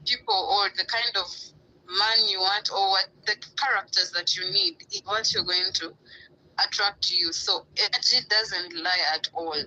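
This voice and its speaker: A woman from Uganda speaking earnestly as a guest on a live stream, swooping up in pitch for emphasis. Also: pushed and bright, low-quality recording. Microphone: live stream call.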